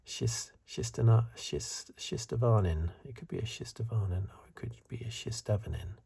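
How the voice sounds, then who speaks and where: A man giving soft-spoken, partly whispered ASMR review commentary in a breathy, hesitant, musing way. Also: lowish voice, moderate pace. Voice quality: breathy, hushed. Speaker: host of a film review video.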